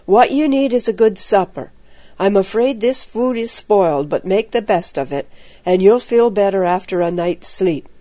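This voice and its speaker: A woman's voice: mid-range voice, moderate pace, dark tone, no room sound, natural voice. A woman storyteller voicing a sceptical, bossy older boy on a camping trip, firm and dismissive.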